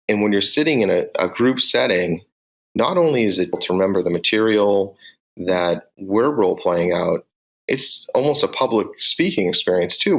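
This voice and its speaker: This is a man with a Boston accent and a very low voice. He talks through how his sales team works, directly and in measured steps, the way a manager answers an interviewer. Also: fairly bright tone, poor audio. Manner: direct, measured, explains step by step.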